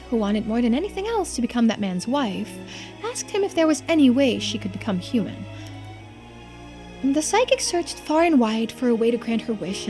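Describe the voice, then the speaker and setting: Woman doing a knowing polite lore keeper for a game, high mellow voice, lots of melody. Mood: storytelling. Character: calm, knowing, courteous storyteller of mermaid lore. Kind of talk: video game dialogue read aloud in character.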